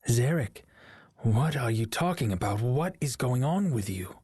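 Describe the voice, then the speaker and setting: A man's voice: mid-range voice, lively intonation, measured pace, darkish tone, breathy edge, no echo, studio quality. An actor playing a king's worried, sensible twin brother in a game cutscene, speaking in an earnest, cautious manner. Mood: alarmed. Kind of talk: dramatic video game cutscene acting.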